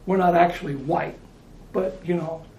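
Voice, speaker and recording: A man's voice: high voice, fairly even intonation, moderate pace, darkish tone, roomy sound, slight background noise. An American man preaching informally, talking in a conversational way, repeating phrases and restarting his sentences. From United States.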